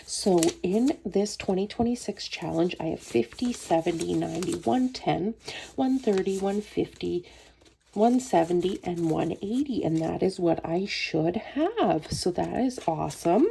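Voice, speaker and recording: A woman's voice: lowish voice, animated, unhurried, vocal fry, warm tone, a little hiss or hum, poor audio. A woman with a cold and a sore throat, chatting casually through a budgeting vlog in a friendly, rambling way. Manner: friendly, rambling, frequent ums. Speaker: budgeting vlogger.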